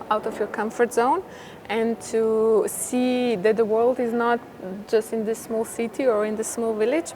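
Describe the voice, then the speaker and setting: Higher-pitched woman interviewed over clear noise. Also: darkish tone. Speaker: theatre worker being interviewed. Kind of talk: explanatory interview talk. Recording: clearly noisy ambient background.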